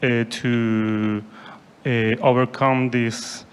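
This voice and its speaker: A man's voice: mid-range voice, level tone, drawn-out words, clear, resonant tone. A young man from Chile with a Chilean Spanish accent, Spanish his first language, asking a question from the audience carefully and with many hesitations. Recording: long hall reverb.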